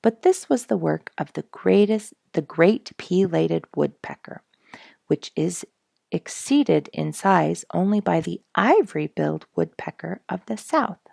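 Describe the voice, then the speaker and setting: A woman reading a nature storybook aloud in an expressive, measured, dramatic storytelling manner. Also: fairly low pitch, creaky, breathy edge, dry recording, studio quality. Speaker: audiobook reader and show host.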